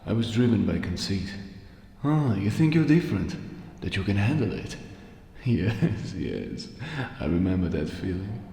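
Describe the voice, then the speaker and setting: Guy putting on a bitter undead merchant from a fantasy game, slow and low, mocking, big echoey reverb. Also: lively intonation, creaky, dark tone, heavily acted. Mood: bitter, despairing. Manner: sardonic, grumbling, mocking. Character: bitter, crestfallen undead merchant, sneering and fatalistic. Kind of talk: fan voice acting of game dialogue. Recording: echoey long reverb, faint noise.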